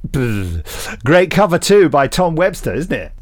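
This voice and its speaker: A man's voice: a little high, very animated, measured pace, no echo. A man co-hosting a podcast, chatting with dry, self-mocking wit. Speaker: podcast co-host. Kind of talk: casual podcast banter.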